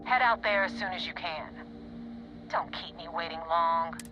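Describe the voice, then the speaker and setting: An actress playing a tough, sardonic space pirate recruiter in a video game, blunt and threatening. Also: female voice, lowish voice, unhurried, highs cut off, background noise, natural voice. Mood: brisk.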